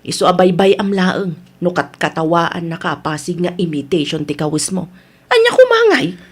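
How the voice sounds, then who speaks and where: A woman's voice: lowish voice, sing-song, normal speed, breathy edge. A woman acting a chatty, nosy, teasing village woman in a radio comedy drama. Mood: calm, soothing.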